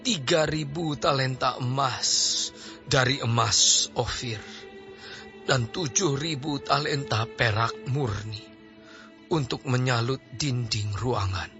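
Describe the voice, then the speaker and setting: A man's voice: medium pitch, pushed and bright. An actor playing an aged, devout King David in an audio drama, solemn and declamatory, speaking with reverent deliberation. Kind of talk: audio drama Bible acting. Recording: slightly dull audio under clear music.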